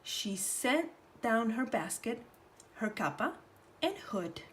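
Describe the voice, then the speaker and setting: A woman storyteller reading a children's picture book aloud in an animated, theatrical way. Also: a little high, slow, a little hiss or hum.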